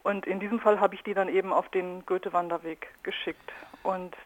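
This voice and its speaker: A woman's voice: lowish voice, fairly even intonation, a little fast, muffled recording. A woman talking spontaneously as an interview guest, thinking aloud in loosely linked clauses. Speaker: radio artist being interviewed.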